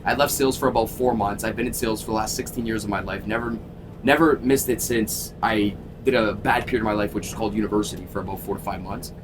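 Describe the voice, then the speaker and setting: A man in his thirties from Toronto, a sales coach telling his story in a casual interview, energetic and emphatic, swearing freely. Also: fast talker. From Toronto, Canada. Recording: constant vehicle rumble.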